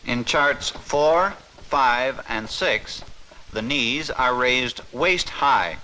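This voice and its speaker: A man giving a formal, measured, scripted narration in the style of a 1950s instructional film. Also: medium pitch, pushed and bright, low-quality recording.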